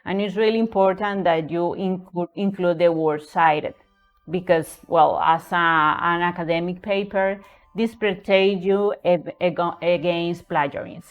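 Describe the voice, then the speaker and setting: A woman's voice: lowish voice, fairly even intonation, measured pace, lo-fi sound, soft background music. Spanish-accented woman tutoring essay writing. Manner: repeats words, frequent "okay?" and "right?" checks.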